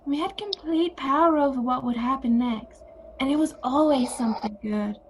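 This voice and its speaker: An actress playing a gentle, conciliatory grown-up sister with a nostalgic streak in an audio play. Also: female voice, high voice, normal speed, a little hiss or hum.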